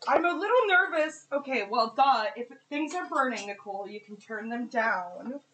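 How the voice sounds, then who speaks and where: A woman's voice: on the high side, animated, normal speed, a bit bright, slight background noise. A woman in her thirties cooking live in her home kitchen, chatting casually, swearing and laughing at her own chaos. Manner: chaotic, swearing, laughing at herself. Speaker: streamer cooking along with a friend. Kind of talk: casual livestream chat. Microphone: fixed camera across the kitchen. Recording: roomy kitchen sound with music behind.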